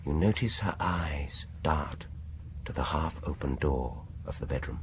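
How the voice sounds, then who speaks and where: An actor playing a smooth-talking, insistent British dentist in a radio horror drama, deliberate and persuasive, often dropping into near-whispers. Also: male voice, rumbling low, slight background noise. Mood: hushed, sinister. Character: smooth-talking, insistent male dentist coaxing a frightened patient. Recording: radio drama with music behind.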